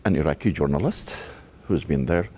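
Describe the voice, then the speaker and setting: A man from Iraq, a journalist, speaking slowly in short halting phrases in a news interview. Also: very deep voice, expressive, a little mellow, husky.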